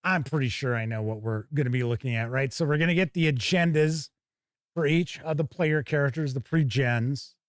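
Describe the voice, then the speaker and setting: A man's voice: mid-range voice, normal speed, dry recording, clean studio sound. A man hosting a tabletop game review stream, chatting casually and thinking aloud as he comments on an unboxing.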